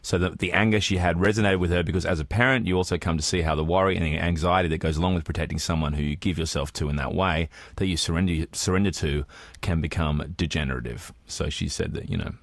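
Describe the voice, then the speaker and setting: A man's voice: sits low, fast talker, no room sound, clean studio sound. A man, chatting casually as a podcast co-host. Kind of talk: casual chat.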